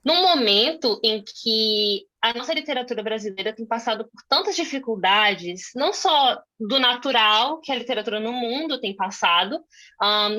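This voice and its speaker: Young brazilian woman on a video call, bright voice, lots of fillers and restarts. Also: fairly high pitch. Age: young adult. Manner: chatty, thinks aloud with many fillers and restarts. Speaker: moderator. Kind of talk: casual conversation over video call.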